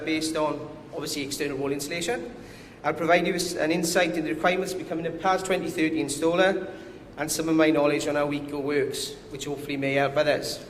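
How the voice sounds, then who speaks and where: Welsh man presenting in an echoey hall. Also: fairly high pitch. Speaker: commercial director giving a conference talk. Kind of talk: conference presentation. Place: conference hall. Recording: echoey hall with faint noise.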